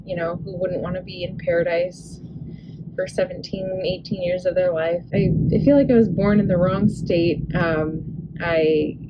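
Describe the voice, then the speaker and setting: A woman's voice: on the low side, steady tone, measured pace. Hesitant young woman over background noise. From Hawaii, USA. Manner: hesitant, many ums, friendly. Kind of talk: casual interview talk. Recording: dull sound with clear background noise.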